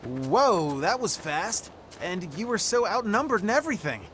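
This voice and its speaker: A man's voice: high voice, sing-song, normal speed, a bit bright, slight background noise. A man playing an earnest, eager young soldier in a video game, respectful and deferential. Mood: impressed, respectful.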